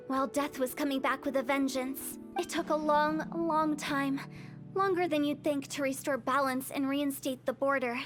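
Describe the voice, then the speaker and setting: Teasing funeral parlor girl, high pitched, game music under it. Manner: teasing, lively, storytelling. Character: playful young female funeral parlor director. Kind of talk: game dialogue.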